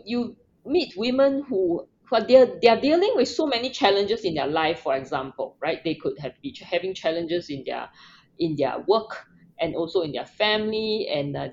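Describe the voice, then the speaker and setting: Woman from Singapore, podcast guest; animated, earnest, slightly dull audio. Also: mid-range voice, normal speed, a little hiss or hum. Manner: earnest, many fillers and restarts. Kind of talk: casual interview.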